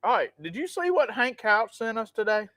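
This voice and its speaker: A man's voice: high voice, very animated, moderate pace, a bit bright, no room sound, studio quality. A man with a Southern Mississippi accent, chatting casually as a cooking show co-host and reading out viewer comments. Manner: laid-back drawl, laughs often, reads viewer comments. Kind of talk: casual chat.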